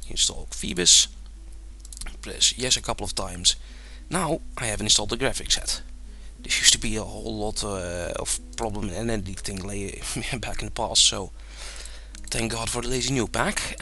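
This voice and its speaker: A man's voice: lowish voice, creaky. A young man with a Dutch accent, casually narrating a game tutorial over his screen, rambling with lots of fillers and little chuckles. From Netherlands. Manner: relaxed, rambling, many fillers, chuckles often.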